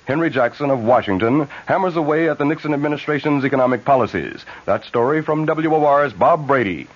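A man's voice: medium pitch, animated, fairly quick, a little hiss or hum. A man with an American accent reading a news bulletin on 1970s radio. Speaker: radio newsreader. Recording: muffled vintage AM radio recording.

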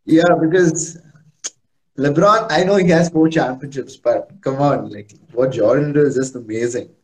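A man's voice: medium pitch, normal speed, low-quality recording. A young man in casual chat on a video call, hesitant and stammering at times. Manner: hesitant, stammering, then keen.

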